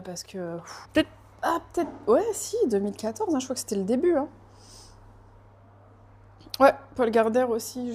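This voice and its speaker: Chatty woman, lots of hesitant fillers. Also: on the high side. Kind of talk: casual chat. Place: lives in Portugal.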